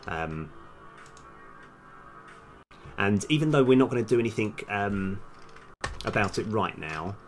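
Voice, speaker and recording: Low-voiced man thinking aloud while coding. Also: lively intonation, measured pace, long pauses. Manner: muttering code terms to himself in fragments. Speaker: game developer live-streaming his coding. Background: background music, computer keyboard typing.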